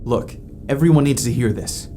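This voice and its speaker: An irritable male astronaut, played by a man in a naturalistic sci-fi audio drama. He has a medium-pitched voice and talks curtly, defensive and sarcastic, often dropping into a mutter. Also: moderate pace, warm tone, slight background noise, natural voice. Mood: tense, insistent.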